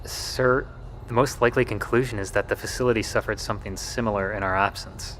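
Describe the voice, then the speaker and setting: An actor playing a deferential military technician in an audio drama, speaking in a measured, formal and methodical way. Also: male voice, on the low side, steady tone, lightly acted. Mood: grim. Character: deferential male military technician soldier, grimly analytical. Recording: clear background noise.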